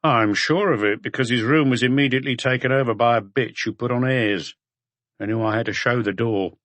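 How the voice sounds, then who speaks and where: A man's voice: mid-range voice, brisk, fairly bright tone, no room sound, lightly acted. A man voicing a tired, slovenly hotel owner with blunt, curt answers, in an audiobook. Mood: gruff, weary. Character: unshaven, tired, gruff male hotel owner.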